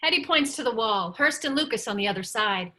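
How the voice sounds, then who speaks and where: A woman's voice: fairly high pitch, expressive, brisk, pushed and bright. A woman narrator reading stage directions at a script table read.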